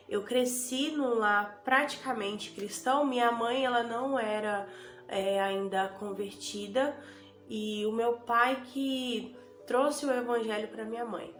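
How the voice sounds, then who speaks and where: A Brazilian Portuguese woman who has a cold speaks slowly and haltingly, filling pauses with little fillers and circling back over her words. Her voice sits a touch high and rings around a reverberant room, with faint music in the background. She talks unscripted, straight to her camera. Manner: hesitant, filler-heavy, repeats herself. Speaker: vlogger giving a conversion testimony. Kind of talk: unscripted personal testimony. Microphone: camera and phone.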